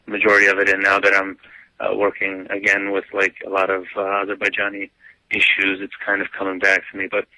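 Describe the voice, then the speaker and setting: A man, answering interview questions in a relaxed way, full of "um" and "like" fillers. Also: deep voice, fast, dry recording, low-quality recording. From United States (grew up). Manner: easygoing, lots of "um" and "like" fillers. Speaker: guest.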